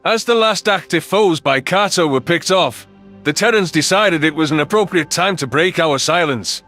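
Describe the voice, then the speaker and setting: A man's voice: a little high, moderate pace, sharp tone. A man reading scripted, dramatic first-person science fiction narration as an audiobook narrator. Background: background music.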